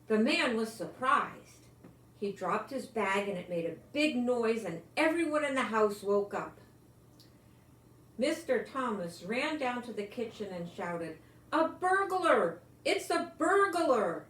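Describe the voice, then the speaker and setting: An older woman reading a children's picture book aloud, expressively, with gentle exclamations and asides to young listeners. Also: mid-range voice, animated, takes it slowly. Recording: echoey room with some background noise.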